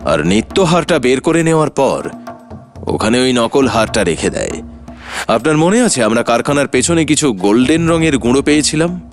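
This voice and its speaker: An actor playing a shrewd, confident detective in a cartoon mystery, explaining things in a sly, theatrical way. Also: male voice, mid-range voice, big pitch swings, moderate pace, soft background music, natural voice. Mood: confident, explanatory. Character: shrewd, confident male detective revealing the culprit. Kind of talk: animated detective story acting.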